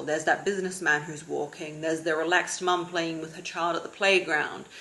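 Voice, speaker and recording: A woman in her thirties giving casual advice to camera from her living room sofa, in a calm, steady, self-described monotone. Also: low-pitched, low-quality recording. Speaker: vlogger and confidence coach.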